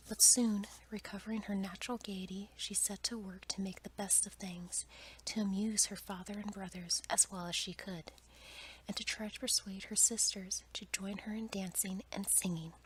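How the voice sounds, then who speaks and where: A woman reading a fairy tale aloud as an ASMR bedtime story in a soft, breathy, unhurried whisper. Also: medium pitch, poor audio. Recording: clear background noise, ambient sound.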